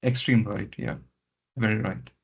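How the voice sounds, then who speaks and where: A man giving step-by-step software training in an instructional way, often repeating his words, over an online meeting. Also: fairly low pitch, steady tone, unhurried, gravelly, husky. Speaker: software trainer leading a session. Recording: muffled lo-fi online meeting audio.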